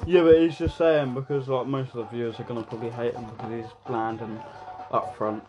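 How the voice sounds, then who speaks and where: A man's voice: mid-range voice, steady tone, brisk, a little mellow, rough recording. A young man co-hosting a casual podcast. He swears a lot and mocks himself. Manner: self-mocking, swears a lot. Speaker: podcast co-host. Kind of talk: casual banter among friends.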